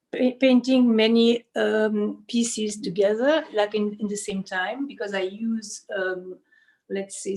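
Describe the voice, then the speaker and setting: A woman's voice: medium pitch, low-quality recording. A woman from Switzerland gives an informal explanatory studio tour as an artist and costume designer, often searching for words and adding "you know".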